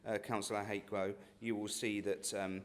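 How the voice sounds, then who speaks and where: A man councillor answering a question at a meeting, halting and measured with many fillers. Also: fairly low pitch, flat delivery.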